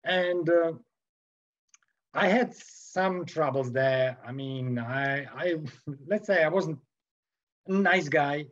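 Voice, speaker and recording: Man in his forties, Serbian accent, slightly high voice, halting and searching for words, muffled video call. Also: a bit bright. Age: 40s. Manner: halting, many fillers and restarts, laughs often. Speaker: guest sculptor. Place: home workroom with shelves.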